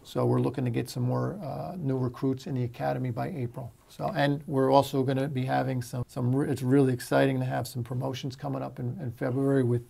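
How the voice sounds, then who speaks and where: A middle-aged American man, a fire chief, speaking in a sit-down interview in a halting way, repeating his phrases. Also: mid-range voice, flat delivery, creaky, dark tone, husky.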